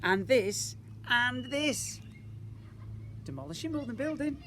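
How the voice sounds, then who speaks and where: A man tells a picture-book story in the manner of an infant teacher. His pitch swoops widely and key words are stressed. There is faint noise in the background. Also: expressive, takes it slowly, pushed and bright. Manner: emphatic, with rhetorical questions and asides. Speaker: teacher reading to young children.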